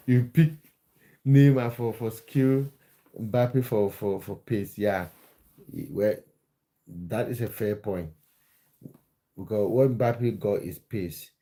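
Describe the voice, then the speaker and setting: Mellow-voiced man hosting a football livestream. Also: on the low side, slow, long pauses. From Cameroon. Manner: chatty, restarts words, reads viewer comments aloud. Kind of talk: casual live chat with viewers.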